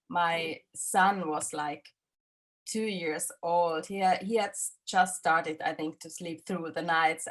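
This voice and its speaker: Woman director, 30s, English, halting answers full of fillers. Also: on the low side. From Finland. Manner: halting, many fillers and restarts. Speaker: film director. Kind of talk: festival interview, casual answers.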